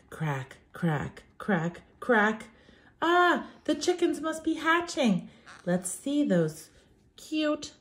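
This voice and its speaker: A young woman teacher reading aloud for young children in an emphatic, theatrical, sing-song way. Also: fairly high pitch.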